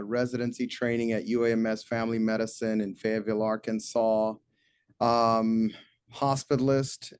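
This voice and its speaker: A man's voice: fairly low pitch, darkish tone, clean tone, no echo. A man giving a brief, matter-of-fact introduction as a panelist, in clipped phrases. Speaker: panelist, hospital physician.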